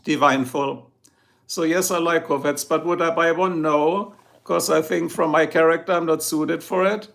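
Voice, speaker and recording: A man's voice: a little high, steady tone, normal speed, vocal fry, fairly bright tone. A middle-aged man with a German accent, chatting casually with viewers on his livestream and answering their comments. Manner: chatty, answers viewers one by one, chuckles often. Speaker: livestream host.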